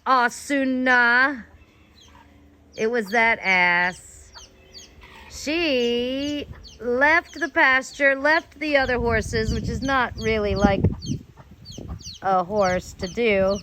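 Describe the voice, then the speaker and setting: An American woman telling rambling personal stories casually as a host, in a drawn-out way with some swearing. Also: fairly high pitch, bright, forceful tone, slight background noise. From United States. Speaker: host of a personal storytelling show.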